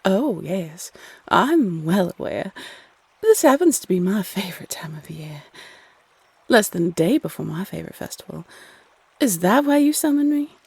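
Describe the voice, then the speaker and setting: Woman acting a husky, sultry teasing Cupid. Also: mid-range voice, sing-song, darkish tone, no echo, heavily acted. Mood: teasing, knowing. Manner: sultry, coy, teasing, drawn-out phrasing. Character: teasing, flirty female Cupid spirit, playfully condescending to humans. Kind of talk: intimate roleplay audio acting.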